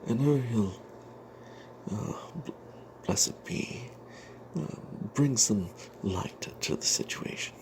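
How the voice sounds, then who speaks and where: A man telling an off-the-cuff fantasy game story in ASMR style, breathy and half-whispered, halting, with playful old-fashioned flourishes. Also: medium pitch, lively intonation, noisy background. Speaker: storyteller narrating a tabletop game tale.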